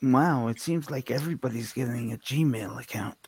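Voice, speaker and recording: A man co-hosting a radio show in casual chat, with a halting, apologetic manner. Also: mid-range voice, a little mellow, slightly rough, dry recording.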